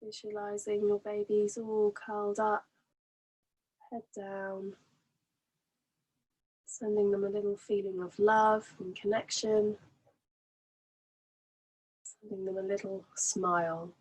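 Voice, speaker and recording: A woman leading a guided relaxation as a meditation teacher, soothing and gently instructing in an unhurried way. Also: medium pitch, flat delivery, slightly rough, rough recording.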